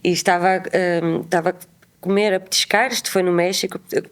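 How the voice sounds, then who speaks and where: A woman in her thirties from Portugal with a European Portuguese accent, a guest telling travel stories in a chatty, lively way. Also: fairly low pitch, brisk, a little hiss or hum. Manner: chatty, animated, acts out quoted voices. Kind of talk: casual storytelling interview.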